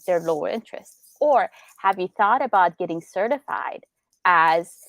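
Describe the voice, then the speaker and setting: A woman's voice: lowish voice, expressive, moderate pace, fairly bright tone, no echo, poor audio. A young adult woman from Iran, a business attorney, explaining in casual interview chat with lots of rhetorical questions.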